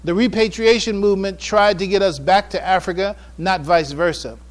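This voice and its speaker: A man with an American accent speaks in a notably high register. He delivers a prepared lecture in formal, deliberate oratory, leaning firmly on key phrases. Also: fairly even intonation. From United States. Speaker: speaker giving a public address. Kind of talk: prepared religious and historical lecture read from notes.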